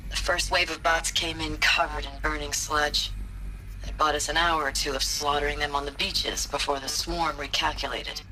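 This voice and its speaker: An actor playing a weary, wry soldier recording a war log in a video game. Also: male voice, fairly high pitch, sharp tone, low-quality recording. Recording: clear music behind the voice.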